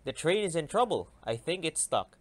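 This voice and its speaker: Man reading as an earnest, animated farmhand. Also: on the high side, moderate pace. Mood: worried. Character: helpful male farmhand, plain-spoken and earnest.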